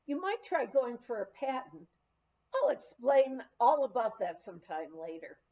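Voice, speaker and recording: A woman's voice: fairly high pitch, big pitch swings, unhurried, mellow. A woman voicing a folksy, plainspoken old male miner in an audiobook memoir, with rustic, colloquial phrasing, on a dull recording. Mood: matter-of-fact.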